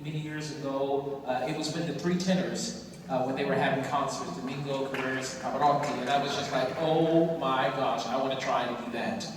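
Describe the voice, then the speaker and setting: A man's voice: medium pitch, fairly even intonation, fairly quick, noisy background. Man far off in an echoing hall. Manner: thoughtful, with frequent fillers. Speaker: opera tenor. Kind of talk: onstage discussion. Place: large opera house stage.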